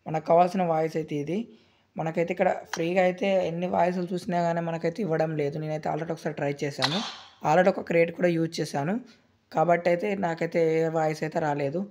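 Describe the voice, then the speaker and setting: A man hosting a gaming channel, explaining a game tutorial in a rapid, repetitive, filler-heavy way. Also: a little high, flat delivery, a little mellow. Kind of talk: screen-recorded game tutorial.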